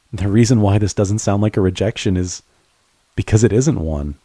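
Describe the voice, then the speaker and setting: A man playing a devoted friend turned lover in an intimate romantic audio roleplay, speaking earnestly and haltingly to the listener. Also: fairly low pitch, dark tone, dry recording, clean studio sound. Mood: tender, teasing. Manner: halting, earnest, with stammered repeats.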